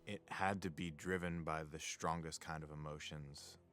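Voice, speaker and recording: A man's voice: a bass voice, steady tone, normal speed, a bit bright. A man explaining a game's story conversationally in a documentary-style interview. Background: faint music.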